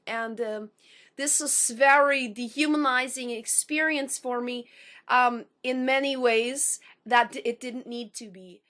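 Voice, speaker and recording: A woman in her thirties performs a spoken word poem in a high, bright, sharp-edged voice. Her speech sounds slightly unusual, sometimes taken for a foreign accent, and she phrases slowly and carefully, pausing between lines. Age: 30s. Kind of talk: personal intro, then spoken word poem.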